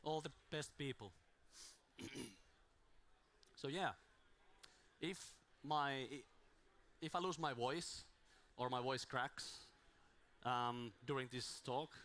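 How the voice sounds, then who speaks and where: A man's voice: medium pitch, takes it slowly, pushed and bright. A man with as his giving an informal, self-deprecating conference talk on a handheld mic in a small room, his voice strained from talking too much. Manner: self-deprecating, rambling with restarts. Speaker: conference speaker.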